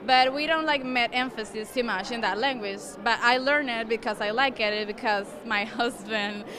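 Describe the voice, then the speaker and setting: A woman talks chattily in English, starting sentences over as she goes. Her voice is fairly high. A crowd murmurs loudly behind her. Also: moderate pace. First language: Spanish. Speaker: adult English learner.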